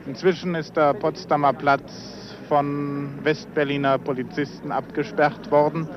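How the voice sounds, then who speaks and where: A man's voice: on the high side, little pitch movement, a little slow, crowd noise. A man reporting live on location for 1950s radio in speech. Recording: noisy dull 1953 outdoor radio recording.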